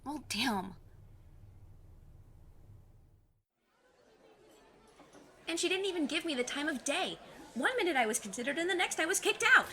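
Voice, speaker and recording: Woman playing a high-voiced, plucky teenage girl. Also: sing-song, quick pace, bright, forceful tone, heavily acted. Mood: indignant. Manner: precise, formal wording, self-assured. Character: plucky, cocky 15-year-old girl cursed to detect lies. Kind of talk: audio drama acting.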